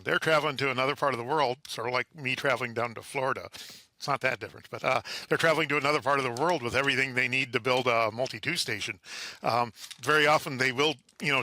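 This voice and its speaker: A man speaks in a very bright, sharp-edged voice at a middle pitch. He explains things conversationally as an interview guest, halting often and repeating words as he goes. The sound is close and dry. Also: dry recording. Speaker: guest, amateur radio contester.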